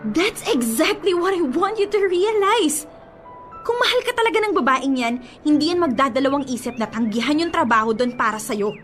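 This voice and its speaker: Young Filipina ex-girlfriend, very high voice, heartbroken, defiant, pleading; radio drama over music. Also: animated, moderate pace, a bit bright. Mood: defiant, wounded. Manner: emotional, pleading, switches between Tagalog and English. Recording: music bed under the dialogue.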